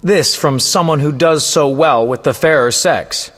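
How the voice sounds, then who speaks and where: A man's voice: on the high side, a little slow, a bit bright, in a large hall. A man playing a vain, roguish poet and bard in a video game, speaking in a theatrical, florid, self-important way. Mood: breezy, sly. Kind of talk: video game voice acting, storytelling.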